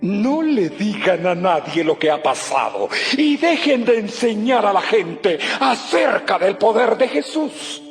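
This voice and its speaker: A man's voice: sits high, big pitch swings, measured pace, heavily acted. An actor playing a stern, indignant male religious council leader in a dramatized audio Bible, vehement and commanding. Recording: long hall reverb, faint music.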